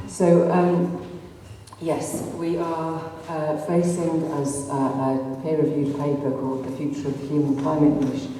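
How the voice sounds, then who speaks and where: A woman's voice: low-pitched, mellow, slight background noise. Earnest Greater Manchester woman in echoey hall. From North West England. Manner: earnest, impassioned, with frequent ums and rhetorical questions. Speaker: activist speaker. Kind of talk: public talk to an audience. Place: arts centre hall. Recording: distant echoey hall recording.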